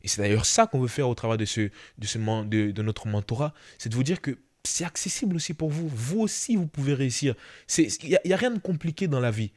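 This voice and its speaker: A man's voice: medium pitch, animated, fast, hardly any pauses, a little mellow. A man co-hosting a mentoring podcast, speaking straight to the listeners in an encouraging, motivational way and often restarting his sentences. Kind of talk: motivational talk.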